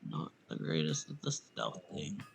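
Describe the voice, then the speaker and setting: A man's voice: extremely low pitch, wildly swooping pitch, unhurried, vocal fry, slight background noise. A man giving casual, offhand, self-deprecating commentary as he plays a video game. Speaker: game streamer.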